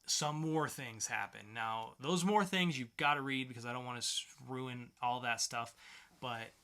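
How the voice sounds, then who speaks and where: A man's voice: medium pitch, moderate pace, pushed and bright, smooth. A man co-hosting a podcast, reviewing comic books in an informal, enthusiastic way. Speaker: podcast co-host. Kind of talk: casual comic book review chat.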